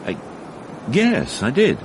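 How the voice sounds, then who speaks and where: An actor playing a formal, persistent police inspector in a radio drama, speaking precisely and probingly. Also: male voice, mid-range voice, wildly swooping pitch, takes it slowly. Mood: probing, terse. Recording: faintly noisy archive radio broadcast.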